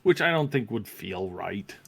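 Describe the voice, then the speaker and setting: A man co-hosting a casual review discussion, reasoning out his opinions haltingly and repeating words as he goes. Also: fairly low pitch, sing-song, vocal fry. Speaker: co-host of a review show.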